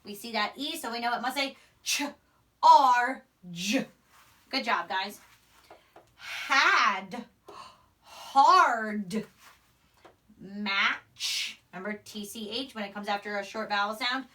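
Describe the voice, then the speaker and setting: Young woman, high-pitched, schoolteacher delivery, unhurried, emphatic sounding-out, slightly distant camera-mic sound. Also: bright, forceful tone. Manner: instructional, sounds out words and letters emphatically, checks with "right?". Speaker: primary school teacher. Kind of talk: phonics lesson for young children. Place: small indoor room, in front of a whiteboard. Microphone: camera microphone a few steps away.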